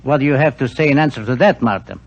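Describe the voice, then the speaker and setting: An actor playing a stern police minister in a 1940s radio drama. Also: male voice, medium pitch, fast talker, slightly rough, dull audio. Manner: stern, official.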